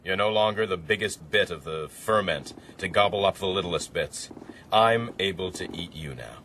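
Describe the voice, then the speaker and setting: An actor playing an educated, determined castaway in a radio drama, earnest and articulate. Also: male voice, low-pitched, animated, normal speed, sharp tone, exaggerated voice. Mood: defiant. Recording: sea and boat noise, music behind.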